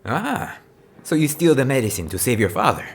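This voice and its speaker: A man's voice: medium pitch, big pitch swings, normal speed, breathy edge. A man playing a cheeky, wry listener who teases and butts in, in an audio drama. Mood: wry, teasing. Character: cheeky, interrupting male listener named Dion.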